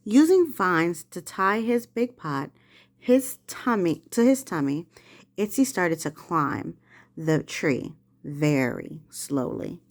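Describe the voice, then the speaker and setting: A woman's voice: lowish voice, very animated, slow. A woman reading a children's storybook aloud expressively, as a mother does with her children. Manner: prompts the young reader with words.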